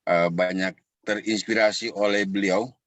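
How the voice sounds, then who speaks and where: A man giving an informal address as a webinar guest, courteous and halting in his delivery. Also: lowish voice, steady tone. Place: online video call. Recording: dull echoey online call audio.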